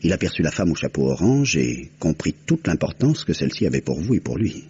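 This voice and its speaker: An actor playing a composed detective, measured and articulate as he explains, in an old French radio crime drama. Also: a bass voice, sing-song, a little mellow, husky, heavily acted. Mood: methodical storytelling. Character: composed, methodical detective recounting a solved murder. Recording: muffled old radio recording, faint noise.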